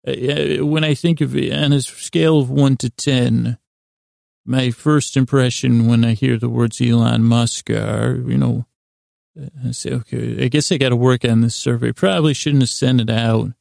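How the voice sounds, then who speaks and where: Man with a very creaky, gravelly voice. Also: medium pitch, steady tone, a little mellow, dry recording, clean studio sound. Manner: halting, digressive, mumbly self-interruptions. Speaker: podcast host. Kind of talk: rambling, meandering bedtime podcast monologue.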